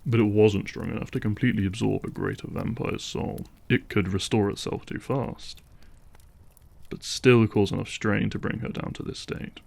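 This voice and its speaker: A man's voice: fairly low pitch, fairly even intonation, moderate pace, vocal fry, a little mellow. A man playing a brooding, terse vampire hunter and reluctant ally in a fantasy audio drama, sounding sceptical. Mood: grave, analytical. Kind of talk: fantasy audio roleplay acting.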